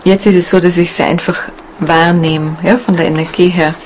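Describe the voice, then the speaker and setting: A woman talking reflectively in a documentary interview, halting and pausing to find her words. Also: fairly low pitch, steady tone, darkish tone, slight background noise. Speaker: shamanic seminar leader and interviewee. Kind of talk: documentary interview, unscripted talk.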